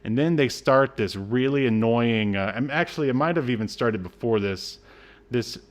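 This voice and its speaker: A man's voice: mid-range voice, normal speed. A man co-hosting a podcast, recapping a film casually in a sardonic, exasperated way with some swearing. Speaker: podcast co-host.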